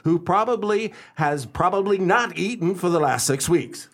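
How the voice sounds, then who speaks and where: An actor playing a self-assured, courteous male detective in a radio play, speaking formally and deliberately as he explains. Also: high voice, animated. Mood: confident, explanatory. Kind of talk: radio play acting and narration.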